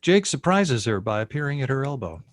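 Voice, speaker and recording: A man narrates scripted stage directions for a table read. His voice is mid-pitched and recorded dry, with very little room around it. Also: sing-song, a little fast, no room sound.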